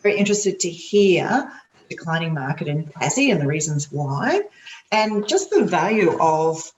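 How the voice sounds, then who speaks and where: A woman on a video call with a croaky voice, sharing quick, enthusiastic feedback. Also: sits low, sing-song, highs cut off. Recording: echoey room with faint noise.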